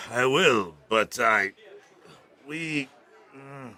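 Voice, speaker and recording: A man's voice: mid-range voice, expressive, extremely slow, pushed and bright, full character voice. An actor playing a tipsy, conscience-stricken man in an audio drama, stumbling and hesitating over his words. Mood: troubled, wavering. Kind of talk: dramatic audio fiction acting.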